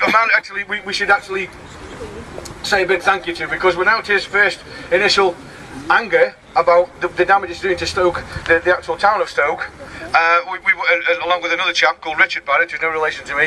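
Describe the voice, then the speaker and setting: A man compering a protest rally, introducing speakers in a halting, joking way with many restarts. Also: sits high, pushed and bright. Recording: distant mic, rally crowd noise. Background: crowd noise.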